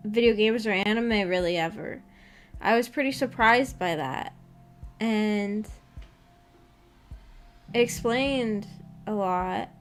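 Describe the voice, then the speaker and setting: A woman livestreamer chatting casually with her viewers in a hesitant, rambling, giggly manner. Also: fairly high pitch. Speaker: streamer and host of a visual novel book club. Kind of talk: casual livestream chat, reacting to viewer comments. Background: background music.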